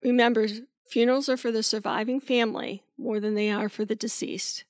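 A woman's voice: a little high, steady tone, brisk, no room sound, clean studio sound. Woman reading advice for a podcast. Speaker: podcast co-host. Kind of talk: scripted advice segment.

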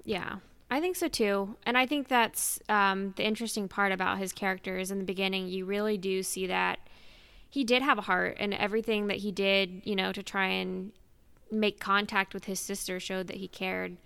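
Bright, creaky-voiced woman chatting. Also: medium pitch, steady tone, moderate pace, no echo. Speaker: podcast host. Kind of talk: casual fan chat.

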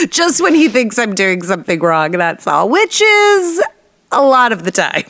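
A woman's voice: on the high side, sing-song, slow, fairly bright tone, no echo, clean studio sound. A young adult woman co-hosting a podcast, joking and laughing often in casual banter. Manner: giggly, jokey, laughs often. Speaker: podcast co-host.

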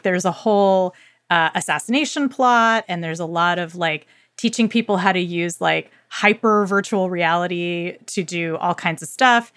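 A woman's voice: medium pitch, a bit bright, no echo, clean studio sound. A woman co-hosting a podcast, chatting casually and effusively in colloquial, slangy language as she recommends books. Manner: enthusiastic, effusive. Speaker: podcast co-host. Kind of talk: casual book recommendation chat.

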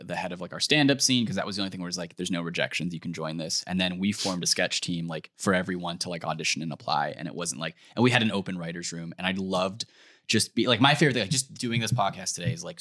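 A young man chatting casually as a podcast guest about his creative work, rambling along in an animated way. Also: deep voice, fast. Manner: rambling, animated storytelling with frequent "like". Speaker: podcast guest, sketch comedy writer.

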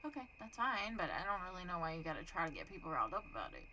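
A woman's voice: lowish voice, sing-song, racing, sharp tone, slight background noise, rough recording. A young woman with a Texan accent co-hosting a podcast, chatting frankly, swearing casually and laughing often. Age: young adult. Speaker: podcast co-host. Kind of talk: casual chat.

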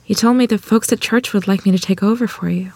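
Woman as a polite rural mother, slightly husky, self-doubting, hesitant, table-read drama, dry close sound. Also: mid-range voice, fast, a little mellow, dry recording, lightly acted. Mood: tender, concerned. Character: modest, hesitant rural wife and mother, gifted pianist.

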